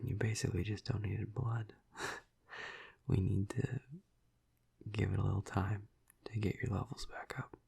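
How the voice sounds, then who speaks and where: A man playing a flirty, tender vampire lover in an intimate ASMR roleplay, half-whispering in a breathy, husky voice with hesitant, teasing murmurs. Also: deep voice, dark tone, full character voice. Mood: soft, reassuring.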